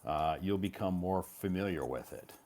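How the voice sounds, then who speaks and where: A low-voiced American man in the role of English teacher. He gives conversational advice in an encouraging way, repeating key points for emphasis. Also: moderate pace, a little mellow.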